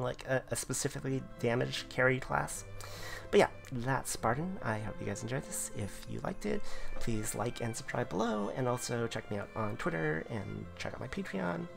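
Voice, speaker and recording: A man reviewing a game class in casual video commentary, his voice going hoarse, rambling with lots of fillers. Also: medium pitch. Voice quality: gravelly, voice wearing thin. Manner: rambling, filler-heavy explanations. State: losing his voice.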